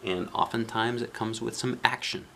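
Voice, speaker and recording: A man's voice: medium pitch, steady tone, moderate pace, creaky, bright, forceful tone. A man reading a picture book aloud as a dad would, expressively, with casual asides to children and weighty emphasis on key lines.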